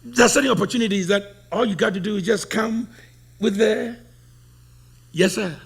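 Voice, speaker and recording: Husky-voiced male preacher, emphatic. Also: sits high, moderate pace. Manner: emphatic, repeats phrases for stress. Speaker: pastor. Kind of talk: sermon.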